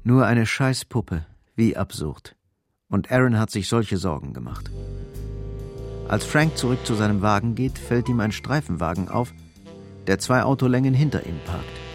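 A man with a gravelly voice narrating a scripted German radio drama. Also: lowish voice, animated, normal speed. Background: music.

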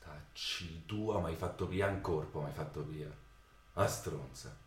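An actor playing a feckless, crude young layabout from Rome in a radio drama, with a Roman accent and blunt slangy talk. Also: male voice, rumbling low, a little slow. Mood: sluggish, crude. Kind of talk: radio drama dialogue acting. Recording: echoey room.

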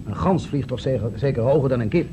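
An actor playing a blunt, mocking lifeguard in a Dutch radio play, talking in a folksy way. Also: male voice, medium pitch, fast, lightly acted. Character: gruff, mocking male lifeguard, local workman. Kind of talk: 1970s Dutch radio drama acting. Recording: dull old radio play, noisy outdoor effects.

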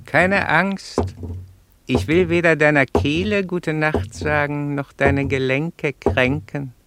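An actor voicing a smug, sinister professor's finger that lectures mockingly in a poetic radio drama. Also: male voice, on the high side, fairly even intonation, slow, background noise. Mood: smugly reassuring.

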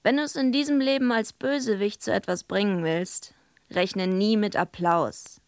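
An actress playing a tough, sardonic young wrestler who narrates her own story in a German radio drama, husky and laconic. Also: mid-range voice, measured pace, background noise, close to an everyday voice. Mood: dry contempt. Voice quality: husky, gravelly. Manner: laconic, dry, defiant. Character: tough, sardonic young female London wrestler, bruised outsider. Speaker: first-person narrator.

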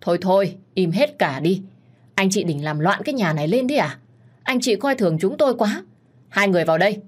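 A woman's voice: low-pitched, normal speed, a little mellow. Woman playing a stern old family patriarch. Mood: angry command. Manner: barking, authoritative. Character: stern, irritated old grandfather, commanding head of the family.

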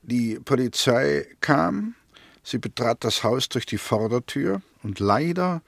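Sardonic, formal barrister, dry sound. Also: male voice, mid-range voice, animated, slow pace, creaky, dry recording, heavily acted. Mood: ironic, pointed. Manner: pointed, formal, ironic. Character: opposing barrister, male, skeptical, sardonic, formally courteous. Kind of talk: 1960s German radio play acting.

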